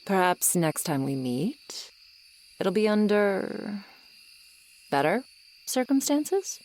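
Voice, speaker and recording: A woman's voice: medium pitch, big pitch swings, poor audio. A woman playing an ageless tsundere swamp monster girl, sardonic and grudgingly kind, in an ASMR roleplay. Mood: teasing, aloof. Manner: slow, sardonic, haughty but softening. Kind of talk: ASMR roleplay acting, addressed to the listener. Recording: night swamp ambience with insects behind. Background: crickets and insect ambience.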